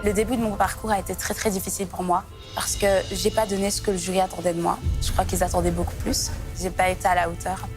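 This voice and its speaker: A woman's voice: medium pitch, little pitch movement, quick pace, hardly any pauses. A nineteen-year-old woman from Congo, a singer giving an earnest, modest interview. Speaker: singer contestant. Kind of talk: personal interview to camera. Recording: music under the voice.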